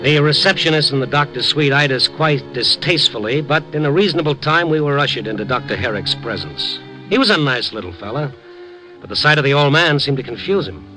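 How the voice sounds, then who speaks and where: A man's voice: a little high, animated, fairly quick, music in the background, lightly acted, rough recording. An actor playing a wry, skeptical younger newspaperman in a 1950s radio drama, informal and slangy. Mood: grave, narrating. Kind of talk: 1950s radio drama acting with first-person narration.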